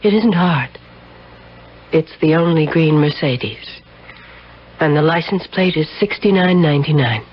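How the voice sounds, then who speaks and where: A woman's voice: low-pitched, unhurried, long pauses, slightly rough. An actress playing a worldly, sardonic married woman, seductive yet guarded, in a 1970s radio drama. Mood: conspiratorial. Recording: dull, noisy 1970s radio broadcast.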